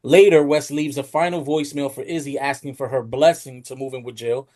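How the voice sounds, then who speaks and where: A man's voice: mid-range voice, fairly even intonation, a little fast, darkish tone. A man hosting a podcast, steering the talk in a casual, conversational style. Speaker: podcast host who steers the discussion. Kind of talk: casual chat and reading out a plot summary.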